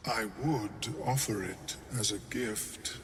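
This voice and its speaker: An actor playing an ancient, courteous, calculating mind flayer in a video game, speaking formally and deliberately. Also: male voice, lowish voice, fairly bright tone, slight background noise. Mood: calm, calculating. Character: ancient, courteous, calculating male illithid, calm and cerebral. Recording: long cavernous reverb.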